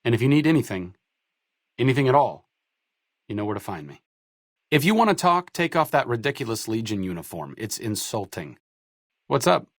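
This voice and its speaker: A man's voice: mid-range voice, very animated, normal speed, pauses often, no echo. A man playing a wry, educated, idealistic doctor-researcher in a post-apocalyptic video game, articulate and sardonic. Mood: earnest, then dry, curt. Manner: dry, articulate, sardonic, self-deprecating. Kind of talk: video game companion dialogue.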